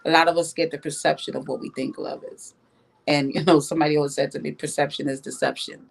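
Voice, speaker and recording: A woman from Jamaica talks through her views in a podcast conversation. Her voice sits slightly low for a woman, and she leans on key words, repeating them for emphasis. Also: steady tone, quick pace, vocal fry, husky. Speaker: podcast guest. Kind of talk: opinionated podcast discussion.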